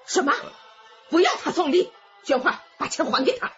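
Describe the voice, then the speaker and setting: Angry bereaved sister in a radio play, shrill scolding woman, muffled sound w music. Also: animated, normal speed, a bit bright. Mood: furious. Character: furious, accusing bereaved sister of murdered woman. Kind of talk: radio drama acting.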